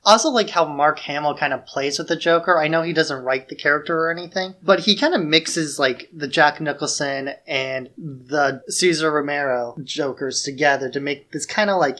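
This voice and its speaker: A man's voice: a little high, moderate pace, hardly any pauses, bright, forceful tone. A man chats as a podcast co-host about films. His wording is loose and informal, full of little filler words, and the whole delivery is casual and conversational. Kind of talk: casual conversational film discussion.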